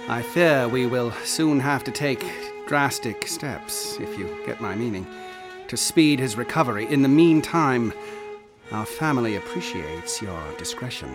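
An actor playing a troubled, reserved gentleman father in a radio drama, speaking formally. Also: medium pitch, lively intonation, unhurried, music in the background. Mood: grave, discreet. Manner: formal, restrained. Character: troubled, reserved well-to-do father, middle-aged or older.

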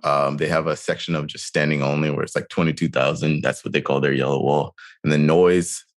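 A man with an exceptionally deep, low voice talks over a casual chat. He is in his thirties and halting, often restarting his sentences and leaning on fillers. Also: steady tone. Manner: hesitant, frequent restarts and fillers. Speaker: podcast co-host.

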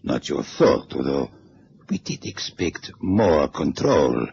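An actor playing a cold, measured Soviet interrogator in a 1960s radio drama, clipped and coldly polite. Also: male voice, extremely low pitch, big pitch swings, vocal fry, darkish tone, exaggerated voice. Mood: menacing. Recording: dull old radio recording, faint hiss.

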